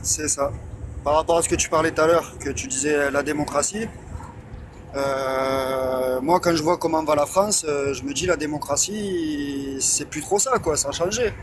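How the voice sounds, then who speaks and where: A man's voice: fairly high pitch, normal speed. A man of Iranian heritage in a casual political chat, blunt and emphatic, asking rhetorical questions. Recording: noisy background.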